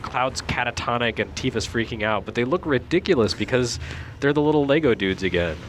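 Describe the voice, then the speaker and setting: A man's voice: medium pitch, animated, a little fast, slight background noise. A man giving casual, wry commentary as a video game critic, joking as he talks.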